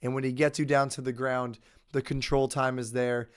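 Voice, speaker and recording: A young adult man with a head cold talks through fight predictions in a relaxed, conversational way. His voice stays smooth and clear at a middle pitch, even though he says he is losing it. He states his opinions with confidence. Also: moderate pace. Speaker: host. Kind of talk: casual sports picks commentary.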